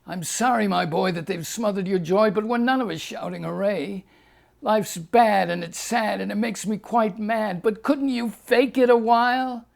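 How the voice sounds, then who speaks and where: A man voicing a sad, tender mother speaking gently and pleadingly to her son. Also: sits high, moderate pace, creaky, voice pushed up.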